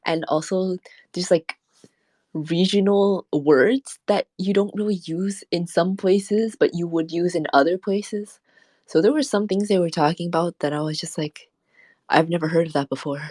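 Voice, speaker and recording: A woman's voice: fairly low pitch, moderate pace, a little mellow, no echo. A woman streamer chatting casually and rambling, laughing often as she talks. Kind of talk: casual late-night stream chat.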